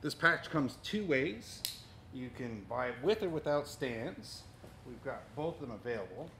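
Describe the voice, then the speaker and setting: A middle-aged man with an average-pitched voice presents audio gear in a casual unboxing style. He explains things plainly while handling the equipment. The recording is clearly noisy, picked up a few feet from the camera inside a store. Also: animated, measured pace. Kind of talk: casual unboxing and product demonstration. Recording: noisy room recording with clicks.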